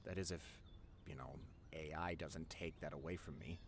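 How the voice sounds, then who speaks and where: A man's voice: rumbling low, steady tone, moderate pace. Man acting as nerdy young boyfriend over background noise. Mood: earnest, wistful. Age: young adult. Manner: nervous stammering, earnest, rambling. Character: nerdy introverted young boyfriend, wacky, tender, passionate artist. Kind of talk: intimate boyfriend audio roleplay.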